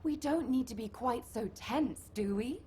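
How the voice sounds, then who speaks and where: A woman's voice: a little high, measured pace, a little mellow, a little hiss or hum, close to an everyday voice. A woman playing a breezy, teasing recon operative in a video game, chatting informally as she briefs a team. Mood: playful, explanatory. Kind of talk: video game mission dialogue acting.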